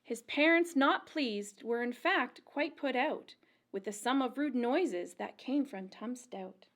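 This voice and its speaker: A woman with a high voice reads aloud for a children's storytime. Her pace is very slow and deliberate, with a sing-song, expressive storyteller delivery shaped for small listeners following a rhyming picture book. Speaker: children's librarian and storytime reader.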